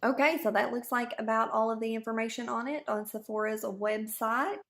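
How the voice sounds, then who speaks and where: A woman with a hoarse voice from a cold, chatting her way through a beauty product review as a vlogger. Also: on the high side, steady tone, brisk, fairly bright tone. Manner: chatty, explains each step as she goes. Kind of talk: casual product review to camera.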